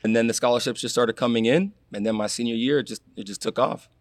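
A young man from Florida, a guest in a casual interview, telling animated stories and acting out what people said. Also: medium pitch, fast, no room sound. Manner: animated storyteller, acts out quotes, laughs. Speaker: guest, former college athlete.

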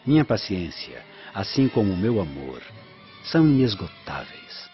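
Radio villain, predatory prefect, lowish and extremely animated, pompous, dull sound with light music behind. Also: male voice, a little slow. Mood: smug, menacing. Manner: pompous, insinuating. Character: arrogant, predatory Roman prefect, smooth villain. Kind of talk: radio drama acting. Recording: muffled radio drama with faint music.

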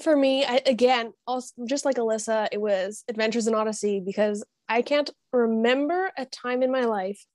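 Young woman, higher voice, dry sound. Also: normal speed, few pauses, darkish tone, dry recording. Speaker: podcast guest. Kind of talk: casual chat.

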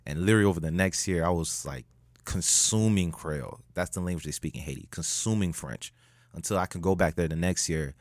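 A man telling a story as a podcast guest, animated, jumping up in pitch when he jokes. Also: a little fast, no echo. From United States. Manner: animated, rises sharply in pitch when joking. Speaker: guest, public speaker.

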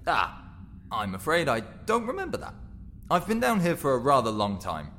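A man's voice: mid-range voice, huge pitch swings, normal speed, fairly bright tone. A man playing a sweet, guileless, chatty ghost in an audio drama, speaking politely and mildly. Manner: polite, mild, old-fashioned chattiness. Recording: long hall reverb, faint noise.

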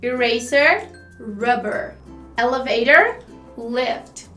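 A woman's voice: a little high, lively intonation, very slow, fairly bright tone, reverberant, faint music behind. A Brazilian woman co-hosting an online English lesson, explaining step by step with examples in a conversational way. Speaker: English teacher and co-host.

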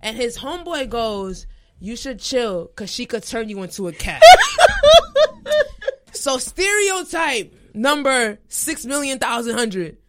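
A young woman co-hosting a casual chat, animated and often breaking into laughter as she mimics other people. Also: on the high side, slow pace, a little hiss or hum. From Haiti. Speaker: podcast co-host.

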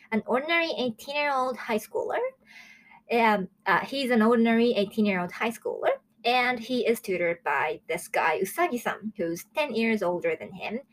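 A woman in her early twenties from Japan, hosting a casual solo review show in a chatty, excitable way. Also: mid-range voice, poor audio. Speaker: host of a manga review show.

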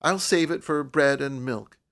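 A man voicing a proud, reserved young woman writer in an audiobook, soft-spoken and cool. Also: mid-range voice, unhurried. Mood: sober, wistful. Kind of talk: audiobook character voice.

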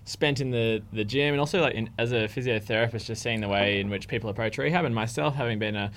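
A man's voice: medium pitch, fast talker, a bit bright. A man, a physiotherapist co-host in a casual chat, speaking hesitantly with many fillers.

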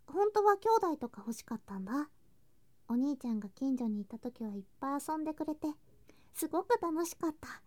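A woman voicing a sweet, affectionate younger girl cousin in a Japanese ASMR situation drama, in a cutesy, clingy manner with soft whispery touches. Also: high voice. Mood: wistful.

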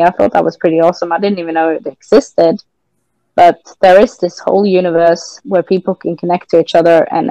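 A Norwegian woman hosts in chatty English with a British lilt and a Norwegian tinge. Her voice sits low for a woman. The sound is dry and close. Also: warm tone, no room sound, low-quality recording. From Norway. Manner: chatty, filler-heavy. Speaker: podcast host. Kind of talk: casual chat.

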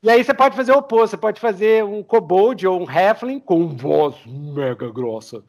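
A man's voice: high voice, normal speed. A man with a Brazilian Portuguese accent hosting a casual podcast chat, telling stories and repeating phrases as he goes. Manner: animated storyteller, repeats phrases, slips into character voices. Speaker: podcast host. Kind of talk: casual chat with RPG tips.

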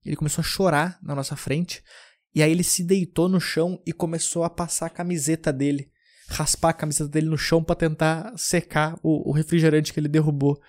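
A man's voice: on the high side, little pitch movement, fairly quick, darkish tone. A young man from southern Brazil hosting a podcast, telling loose, rambling anecdotes in casual chat with frequent restarts. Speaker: podcast host.

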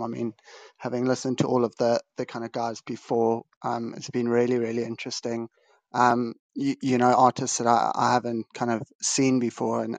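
A man from Zimbabwe, a guest on a video call, tells his story in a loose, modest ramble and keeps circling back on his own words. His voice sits mid-pitch and stays flat. The sound is dry and close. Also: dry recording. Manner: rambling, self-restarting, modest. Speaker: guest artist. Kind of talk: casual video-call interview. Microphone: video call over a weak connection.

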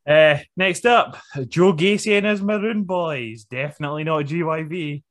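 A man's voice: a little high, moderate pace, fairly bright tone. A man co-hosting a podcast, laid-back and dry, reading out trivia and chuckling between remarks. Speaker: podcast co-host. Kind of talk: casual chat.

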